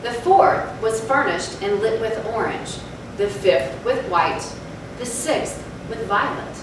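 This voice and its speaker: Lady reading a gothic tale on stage, really echoey, clear background noise, dramatic. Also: fairly high pitch, lively intonation, slow pace. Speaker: stage reader. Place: indoor theatre, far from the mic. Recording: echoey, noisy theatre from far off.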